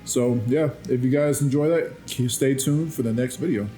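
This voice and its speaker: A man's voice: medium pitch, moderate pace, mellow. A man speaking informally and conversationally to viewers as a story creator and channel host. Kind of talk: casual unscripted outro to viewers. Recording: roomy echo with music behind. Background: music.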